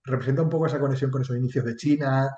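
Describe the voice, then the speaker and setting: A man's voice: mid-range voice, steady tone, rapid-fire, warm tone, roomy sound, dull audio. A man from Extremadura with an accent from Spain, chatting candidly and colloquially as an interview guest, with some mild swearing. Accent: Spanish from Spain. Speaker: interview guest, chatbot marketing entrepreneur. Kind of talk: casual interview chat.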